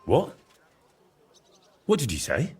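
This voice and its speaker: An actor playing a practical, sceptical young male adventurer in a video game, down-to-earth, with a husky voice. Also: mid-range voice, wildly swooping pitch, slow, music in the background, exaggerated voice. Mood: incredulous. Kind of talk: video game character dialogue.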